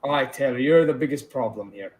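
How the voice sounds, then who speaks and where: A man's voice: medium pitch, brisk, darkish tone. A man from Saudi Arabia with a British-influenced accent, co-hosting a casual livestream chat and bantering a lot, with plenty of "bro" and "you know what I mean". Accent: British-influenced English. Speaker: co-host, channel owner.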